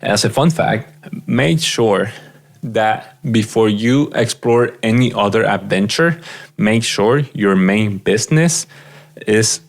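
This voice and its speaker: A man's voice: lowish voice, takes it slowly, gravelly. A man giving earnest, direct business advice as a practice coach, often repeating his points. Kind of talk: advice monologue.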